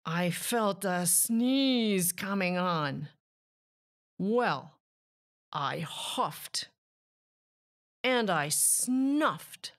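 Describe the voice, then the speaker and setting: A woman plays a sly, self-justifying male wolf from a picture book. The delivery is unhurried and very lively in pitch, leaning on key words as he innocently tells his side. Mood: mock-innocent. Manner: expressive, drawn-out emphatic delivery. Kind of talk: children's picture book storytelling.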